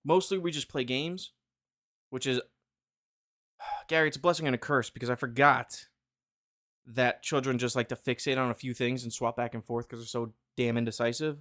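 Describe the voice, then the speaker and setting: A male podcast host chatting casually with his co-host. He jokes around and rambles, with plenty of fillers and false starts in a mid-pitched voice. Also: steady tone, moderate pace, pauses often, a bit bright. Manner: joking, rambling, many fillers and restarts. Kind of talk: casual chat.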